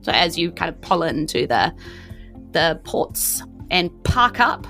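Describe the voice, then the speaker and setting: Female game master narrating over soft music. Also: lowish voice, big pitch swings, measured pace. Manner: descriptive, conversational, hedging with "like". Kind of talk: narrating a tabletop game, semi-improvised.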